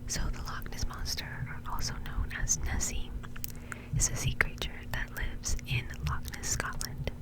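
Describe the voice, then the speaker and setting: A woman telling a story in a soft, breathy ASMR whisper, in a casual, chatty way. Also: unhurried, low-quality recording. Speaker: ASMR video host.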